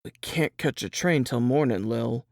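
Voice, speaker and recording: Man acting a gravelly, folksy young-country piano player. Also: mid-range voice, moderate pace, darkish tone, no room sound, studio quality, natural voice. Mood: weary, apologetic. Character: protective, plain-spoken Southern cousin, a traveling piano player. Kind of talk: dramatized audiobook reading.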